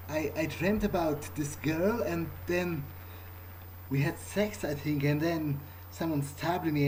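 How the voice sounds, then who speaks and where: A man plays a bewildered young male vampire from a video game, musing to himself. His voice is a little high and very slow, with halting, rambling phrases and a dry, sarcastic streak. There is faint noise behind him. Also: a little mellow, lightly acted, rough recording. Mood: dazed, musing. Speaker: gaming role-player. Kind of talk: in-character improvised game monologue, thinking aloud.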